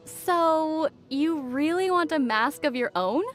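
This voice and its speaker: A woman playing a young female adventurer in a video game, gentle and fondly teasing. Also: high voice, lively intonation, slow, faint music behind. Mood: helpful. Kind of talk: video game character dialogue.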